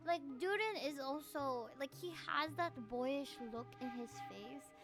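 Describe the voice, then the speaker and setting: A young adult woman with a Filipino accent, chatting casually and playfully with viewers as she livestreams a game, slangy and expressive. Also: sits high, measured pace. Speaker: livestreamer playing a video game. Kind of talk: casual chat with stream viewers and reading game dialogue aloud. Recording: music playing behind the voice.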